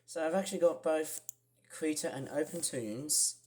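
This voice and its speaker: Young man, croaky voice, rambling vlogger. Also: a little high, moderate pace, darkish tone. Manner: rambling, many fillers and restarts. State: croaky, clearing throat. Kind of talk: casual screen-share vlog.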